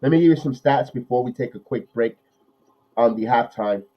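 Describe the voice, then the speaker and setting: Stuffy-nosed guy with a cold hosting a live game watch-along, hyped play calls, mid pitch, kinda dull audio. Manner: excited rapid play calling, then talky analysis with repeats. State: nasal from a cold. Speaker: podcast host.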